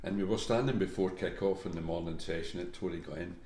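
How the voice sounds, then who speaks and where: A man's voice: sits low, brisk, a little mellow. A Scottish man hosting a casual interview, chatty and prompting with short agreements. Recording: echoey room with faint background hum.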